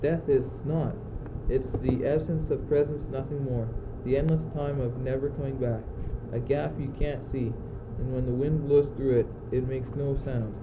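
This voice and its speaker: A man's voice: mid-range voice, steady tone, moderate pace, few pauses, lightly acted. A teenage male student acting an anguished, philosophical courtier facing death in an amateur radio play, speaking in earnest declamation. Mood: grave, intense. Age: teens. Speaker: student actor. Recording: muffled, noisy old amateur recording.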